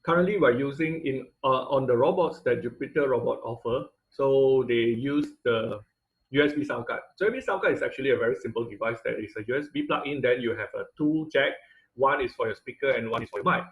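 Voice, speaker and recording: Guy teaching a class over a video call, lots of um and restarts, average pitch. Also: fairly quick, rough recording. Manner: explanatory, many fillers and restarts. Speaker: instructor. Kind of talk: online class tutorial. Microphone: computer mic on video call.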